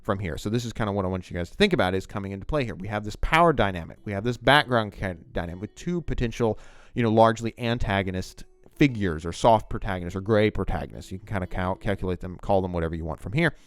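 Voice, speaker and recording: Low-pitched man explaining informally. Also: animated, quick pace. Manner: rambling, conversational, addressing the viewer. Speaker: video essayist on fiction writing.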